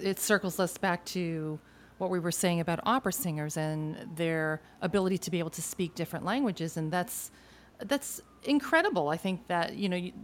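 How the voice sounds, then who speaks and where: A woman's voice: on the low side, slight background noise. A woman from Argentina who sings, speaking thoughtfully in a casual conversation. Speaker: singer.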